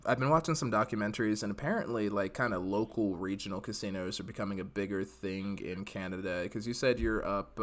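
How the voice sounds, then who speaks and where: Low-voiced chatty podcast host man. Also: fairly bright tone. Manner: chatty, joking, quick laughs. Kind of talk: casual podcast interview.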